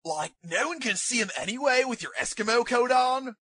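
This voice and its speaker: A man's voice: extremely high pitch, lively intonation, moderate pace, sharp tone, breathy edge, no room sound, clean studio sound, heavily acted. A man voicing a smug, laid-back young surfer-dude ninja with a slacker drawl in a cartoon parody dub. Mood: lazy smugness. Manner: slacker drawl full of filler words. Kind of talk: comedy anime parody dub.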